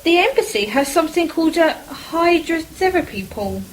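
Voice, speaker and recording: An actress plays a genteel English married woman in a period radio drama. She is high-pitched, homely and polite, chatting away over a faint wash of noise. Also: big pitch swings, moderate pace, poor audio. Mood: interested.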